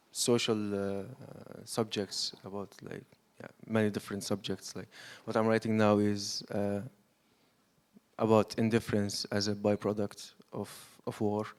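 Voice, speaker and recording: A filmmaker from Syria gives an unscripted answer on a panel. His voice is slightly low and quite flat in pitch. He speaks haltingly, searching for the next word.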